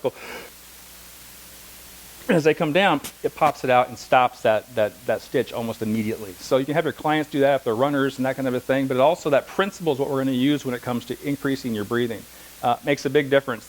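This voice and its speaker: A man's voice: medium pitch, rough recording. A middle-aged man teaching a massage class, lecturing conversationally with anecdotes and asides in a classroom, speaking without a microphone. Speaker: massage instructor.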